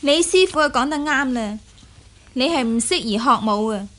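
An actress in a radio drama playing a shrewd, teasing and imperious young heroine. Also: female voice, high voice, animated, moderate pace, a bit bright. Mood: dismissive. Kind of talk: Cantonese radio drama acting.

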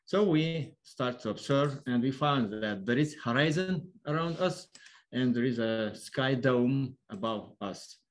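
A Lithuanian man presenting his observatory's work on a video call, picking his way through a foreign language at an ordinary pitch. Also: lively intonation. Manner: halting, non-fluent English. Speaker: observatory worker giving a lecture. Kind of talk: explanatory online lecture. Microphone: online video call.

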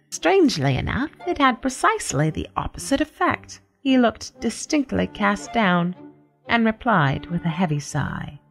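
A woman playing a genteel, sly cat who narrates a radio story with polished, wry formality. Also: mid-range voice.

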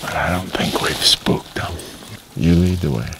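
A man in his fifties talks slowly and easily in a very deep voice. He jokes wryly between stretches of hushed whispering. He is recorded outdoors in a snowy mountain forest on a clip-on mic. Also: heavy background noise. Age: 50s. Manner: easygoing, wry, drops to a whisper near game. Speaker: hunting show host. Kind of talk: casual trail talk on a hunt.

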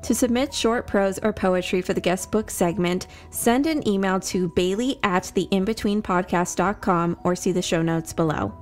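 Female podcast narrator, medium pitch, scripted storytelling delivery, faint music behind. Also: normal speed, few pauses.

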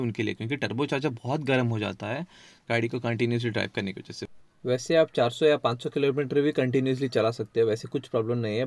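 A man's voice: medium pitch, dry recording. A man, talking viewers through car maintenance checks beside his car outdoors, recorded on a handheld phone. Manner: explanatory, addresses viewers as friends. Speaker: car vlogger. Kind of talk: how-to car maintenance walkthrough.

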